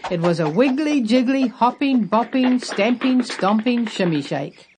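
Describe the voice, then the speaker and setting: Female storyteller for children's picture books, highly varied intonation, expressive narration. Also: unhurried, slight background noise. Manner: expressive, emphatic. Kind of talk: animated children's read-aloud narration.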